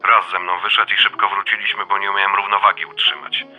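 Blunt adult son, lowish but very bright, cutting voice, dry teasing, radio-drama conversation. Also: male voice, fast, faint music behind. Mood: troubled. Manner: colloquial, dryly teasing. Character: blunt, wry adult son, uneasy new father.

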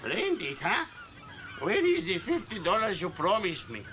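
A man doing a shrill, furious boat owner. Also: huge pitch swings, a little slow, sharp tone, slight background noise, full character voice. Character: irate, shouting male boat owner.

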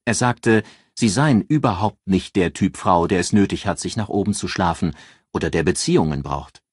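A man's voice: low-pitched, moderate pace, darkish tone, breathy edge, no room sound, clean studio sound. A man voicing a self-assured career woman in an audiobook, with a cool, drawling, ironic and taunting manner. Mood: composed.

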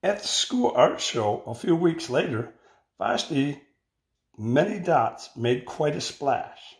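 An older man reads a children's picture book aloud in deliberate phrases, recorded indoors on a phone. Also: fairly high pitch, very animated. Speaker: storybook reader. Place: indoor room with bare walls. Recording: roomy, echoing home recording.

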